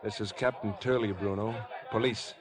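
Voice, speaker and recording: A man's voice: fairly low pitch, fairly even intonation, moderate pace, a crowd in the background, lightly acted. An actor playing an earnest young man wrongly suspected of murder, speaking in clipped 1950s radio suspense style. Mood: urgent, pleading. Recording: vintage 1950s radio broadcast.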